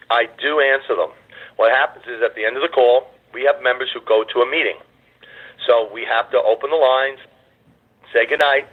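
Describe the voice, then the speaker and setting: A hoarse man hosts a conference call over a tinny phone line. He rambles and reassures, dropping in filler uhs as he goes. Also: mid-range voice. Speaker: call host.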